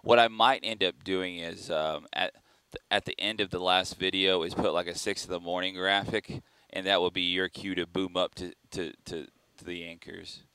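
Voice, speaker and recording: A man's voice: sits low, steady tone, moderate pace, few pauses, sharp tone, clear, resonant tone, dry recording. A man working as a TV director, calling cues and chatting informally with crew. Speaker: TV director in control room.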